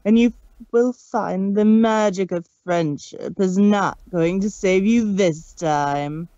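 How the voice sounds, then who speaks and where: A woman puts on a towering, cruel male chaos spirit, dropping far down into a slow, gloating voice. He taunts in sing-song rhymes, savoring every threat. The sound is dry and a little muffled, like a home stream. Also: medium pitch, warm tone, no room sound, pitched below the performer's own voice, lightly acted. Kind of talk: fan reading with voices. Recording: dull dry lo-fi stream audio.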